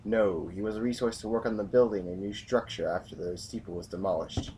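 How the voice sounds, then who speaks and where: Faint noise sits behind a man acting a hardened military commander in a sci-fi audio drama. His voice is on the low side, grave and formal, with a stoic, brooding defiance. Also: a little fast, mellow, natural voice. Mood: solemn, reminiscing.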